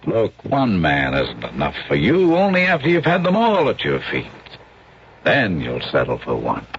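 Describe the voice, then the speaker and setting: An actor playing a brash, slangy, persuasive American showbiz agent in a radio drama, with a cocky hard-sell manner. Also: male voice, deep voice, wildly swooping pitch, moderate pace, full character voice. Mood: pushy persuasion. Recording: dull, faintly noisy radio broadcast recording.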